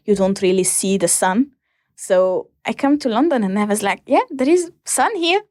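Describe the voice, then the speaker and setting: A young woman with a Hungarian accent, answering interview questions in a hesitant, casual way with many pauses and fillers. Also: mid-range voice, expressive, darkish tone, no room sound, clean studio sound. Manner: hesitant, many ums and restarts. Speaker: interview guest.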